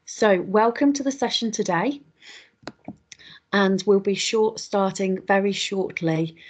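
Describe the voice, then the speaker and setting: A woman with a slightly croaky voice, hosting an online webinar and welcoming attendees in a chatty way, with lots of ums and quick self-corrections. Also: medium pitch, a little mellow. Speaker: webinar host. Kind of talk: welcoming an online audience, housekeeping.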